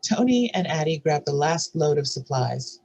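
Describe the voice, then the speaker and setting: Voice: medium pitch, sing-song, moderate pace, creaky, a little mellow, poor audio. A narrator reading screenplay stage directions and scene headings aloud at a scripted table read.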